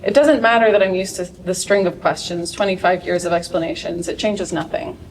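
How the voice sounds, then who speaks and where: A woman reading a translated first-person story aloud at a live literary event, with a dry, wry delivery that flares into sharp outbursts. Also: fairly low pitch, fairly quick. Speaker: translator reading her work. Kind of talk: expressive reading of translated fiction. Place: event stage before an audience. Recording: distant mic in a large room, faint noise.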